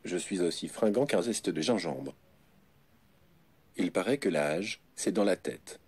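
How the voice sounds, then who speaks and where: A male computer voice assistant giving evasive, witty canned replies in a smooth synthetic voice. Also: low voice, animated, unhurried, a little mellow, dry recording. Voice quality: smooth synthetic text-to-speech voice. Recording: noisy phone speaker.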